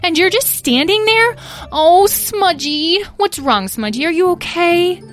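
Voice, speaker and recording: A woman's voice: very high voice, lively intonation, unhurried, fairly bright tone, faint music behind, pitched above the performer's own voice, exaggerated voice. A woman voicing a young female dragon in a children's story, a gushing big sister who coos in baby talk. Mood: fussing, cooing.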